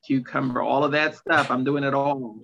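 A man's voice: medium pitch, little pitch movement, moderate pace, vocal fry, a bit bright. A man in his late thirties speaking candidly as a podcast guest, confiding and emphatic, on slightly muffled audio. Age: late 30s. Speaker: podcast guest, empowerment coach. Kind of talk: candid personal conversation.

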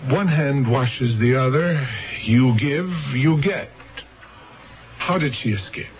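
An American actor in a radio drama playing a shrewd, coaxing police lieutenant, pressing and world-weary. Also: male voice, medium pitch, expressive, slow. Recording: dull radio recording with music.

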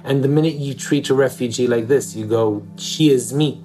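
A man's voice: mid-range voice, normal speed, dark tone, faint music behind. A man from East Jerusalem speaking as an interview guest in an earnest, reflective way, often repeating words for emphasis. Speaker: interview guest, theater director.

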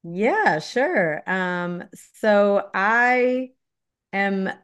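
A woman from Belize, a guest on an interview podcast, who talks about herself in a lively, laughing way and acts out other people's questions. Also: mid-range voice, drawn-out words, smooth. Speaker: guest, leadership firm founder. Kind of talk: casual interview talk.

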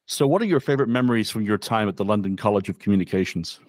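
A man's voice: fairly low pitch, lively intonation, rapid-fire, a little mellow, dry recording, clean studio sound. A man hosting a podcast, giving emphatic, enthusiastic introductions and asking interview questions. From Wales. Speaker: podcast host.

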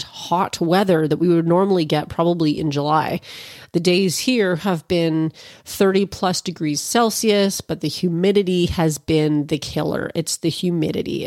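A woman's voice: fairly low pitch, darkish tone, dry recording, clean studio sound. A woman from Canada, a bit stuffy from allergies, chatting casually and rambling to her viewers about cooking. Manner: rambling, informal, with fillers and asides. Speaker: cannabis cooking vlogger.

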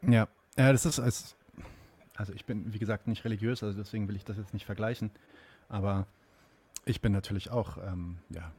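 A man's voice: on the low side, fairly even intonation, brisk, darkish tone, slightly rough. A man from Germany hosting an interview, asking long, searching questions with many fillers. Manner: hesitant, searching for words, asks long questions. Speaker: host. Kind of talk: conversational interview.

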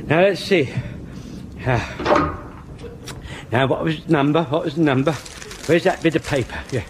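An actor playing an excitable, muddled old army corporal in a British sitcom, with an English accent and a flustered, deferential, repetitive manner. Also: male voice, on the high side, unhurried, lightly acted. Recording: noisy old radio comedy recording.

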